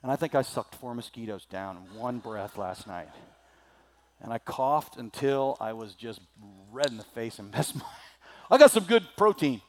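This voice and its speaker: A male preacher with a slightly scratchy throat, delivering a sermon that swings from loud declaiming to quiet asides. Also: mid-range voice, animated, moderate pace.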